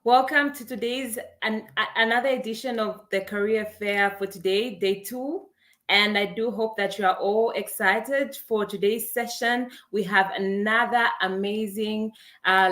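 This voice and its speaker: A woman's voice: medium pitch, steady tone, a little slow, fairly bright tone. A young woman from Zambia hosting an online career event on a video call, upbeat and welcoming as she greets attendees by name. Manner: upbeat, welcoming, reads chat names aloud. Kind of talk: live webinar hosting.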